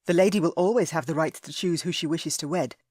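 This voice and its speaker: A woman voicing a kind, honourable gentleman who speaks politely. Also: sits low, quick pace, no echo, studio quality. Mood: courteous. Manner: polite, accommodating.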